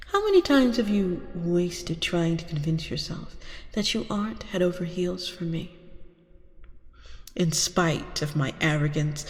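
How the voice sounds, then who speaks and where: Lady doing a seductive vampire queen for asmr, arrogant n teasing, swoopy intonation, big echoey hall reverb. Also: fairly low pitch. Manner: teasing, commanding, theatrical, drawn-out delivery. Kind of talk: ASMR roleplay. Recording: long reverberant hall sound.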